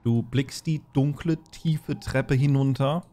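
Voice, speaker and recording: A man with a mid-pitched voice leads a tabletop role-playing session as game master. He improvises descriptions of what the players see and tells them what to do. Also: steady tone, slow pace, warm tone.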